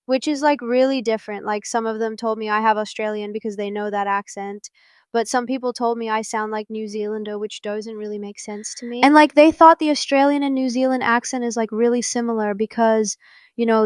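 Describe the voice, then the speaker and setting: A woman's voice: on the high side, smooth, no room sound, studio quality. A young woman from Japan, Japanese her first language, speaking English with a mixed American and British accent as a podcast host, chatty with many fillers. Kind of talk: casual solo podcast talk.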